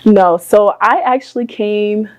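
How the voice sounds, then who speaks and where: A young woman telling her life story in a relaxed interview, talking softly with frequent ums and small laughs. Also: medium pitch, measured pace. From born in Ghana, raised in the US. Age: young adult. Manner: soft-spoken, many ums and little laughs. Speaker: guest. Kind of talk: casual interview.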